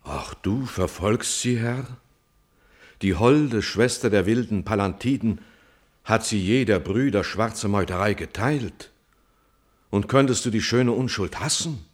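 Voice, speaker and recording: An actor playing a prince's loyal older mentor, gently probing in measured, declamatory verse in a classical radio tragedy. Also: male voice, fairly low pitch. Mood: probing, earnest.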